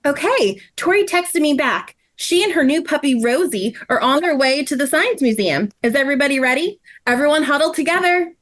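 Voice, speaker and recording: Lady doing sweet eager pal in a kids story, high n really animated. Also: fairly quick, hardly any pauses. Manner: enthusiastic, affectionate. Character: warm, eager friend Paprika, welcoming and sweet. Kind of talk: table read of a children's story.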